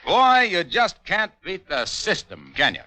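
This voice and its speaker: A man delivering a 1940s radio sponsor pitch as an announcer, with polished, folksy salesmanship. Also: big pitch swings, a little slow, sharp tone, no room sound. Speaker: commercial announcer.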